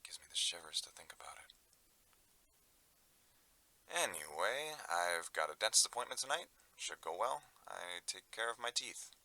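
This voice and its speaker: A man's voice: deep voice, lively intonation, normal speed, pauses often, pushed and bright, exaggerated voice. A man playing a troubled, awkward man reluctantly recording an audio diary, speaking hesitantly. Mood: subdued, uneasy. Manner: hesitant, mumbling, sighing reluctance. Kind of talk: audio drama diary monologue.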